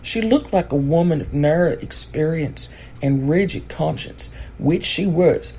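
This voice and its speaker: A woman's voice: deep voice, expressive, unhurried, dark tone, a little hiss or hum, low-quality recording. A woman reading a classic storybook aloud as scripted audiobook narration. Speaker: audiobook reader.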